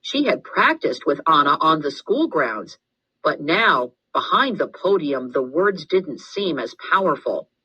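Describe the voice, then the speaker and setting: A woman reading a children's story aloud as scripted narration, in an expressive, deliberate way. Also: low voice, slightly rough, lo-fi sound. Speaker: storybook reader.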